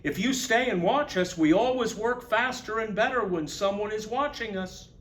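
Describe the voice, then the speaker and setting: Confident, boastful storybook steam shovel man, read by a man, high and emphatic, roomy room sound. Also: normal speed, a bit bright. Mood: boastful, bright.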